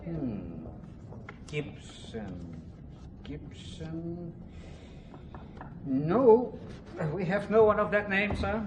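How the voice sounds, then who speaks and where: German receptionist, formal, polite, German accent, fairly high voice, faint noise, audio drama. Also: male voice, animated, very slow, pauses often. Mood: formal, helpful. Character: formal, dutiful German hotel receptionist, male.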